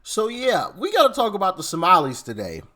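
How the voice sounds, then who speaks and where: A man hosts an opinionated commentary show in a casual, slangy way. His pitch moves around in a lively, animated manner, and he often drops informal asides to the listener. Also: a little fast.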